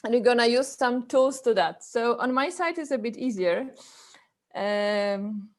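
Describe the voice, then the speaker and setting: High-voiced Polish woman presenting. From Poland. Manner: hesitant, halting phrasing with repeated words. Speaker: webinar presenter, chapter president. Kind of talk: informal online presentation.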